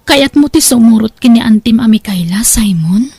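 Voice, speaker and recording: A woman's voice: a little high, expressive, moderate pace, darkish tone. A woman with an Ilocano accent playing a poor, loving, hesitant mother in an audio drama. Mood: tender, reluctant.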